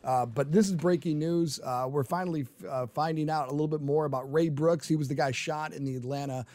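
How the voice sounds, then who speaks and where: A man's voice: fairly high pitch, steady tone, a little fast, darkish tone, dry recording. A man hosting talk radio, speaking in an impassioned, rambling way with frequent restarts, into a studio microphone. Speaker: radio talk show host.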